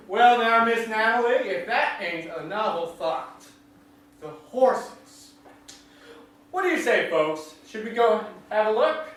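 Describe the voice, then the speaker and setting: A man's voice: high-pitched, animated, slow, pauses often, a bit bright, heavily acted. A man playing a genial small-town countryman in a stage drama, talking in a folksy rural dialect. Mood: delighted. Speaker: actor in a staged reading. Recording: echoey hall, distant mic, faint noise.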